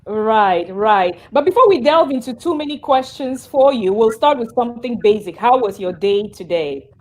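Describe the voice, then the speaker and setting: A woman hosting a video call interview, upbeat and quick to laugh. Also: on the high side, animated. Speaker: host. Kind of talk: casual interview chat.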